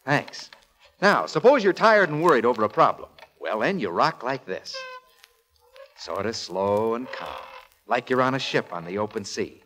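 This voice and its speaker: An actor playing a polite, earnest young sailor with an American accent and folksy manner, in 1940s radio comedy. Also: male voice, medium pitch, very animated, moderate pace, fairly bright tone. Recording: slightly noisy old radio recording.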